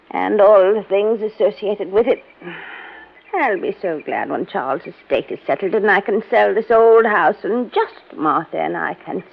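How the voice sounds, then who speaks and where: An actress playing a chatty, fluttery elderly widow in a radio mystery, with a gravelly voice. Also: female voice, fairly low pitch, exaggerated voice. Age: older. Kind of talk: 1940s radio acting. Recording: dull old radio broadcast.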